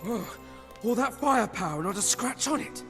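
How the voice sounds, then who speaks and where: An actor voicing a cheeky, blustering scoundrel sidekick in a fantasy game, with a sardonic delivery. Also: male voice, very high voice, lively intonation, moderate pace, a bit bright, full character voice. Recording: music behind the voice.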